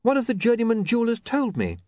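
A man's voice: very high-pitched, wildly swooping pitch, fast, darkish tone, dry recording, pitched above the performer's own voice, exaggerated voice. A man voicing a shy, nervous young woman in a storybook reading, hesitant and sprinkled with little ums.

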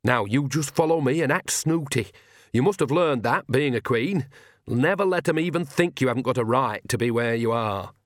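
A man voicing a bold, crafty old witch in an audiobook, brisk, colloquial and commanding, with a sly streak. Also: medium pitch, animated, dry recording, clean studio sound, natural voice. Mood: firm, reassuring.

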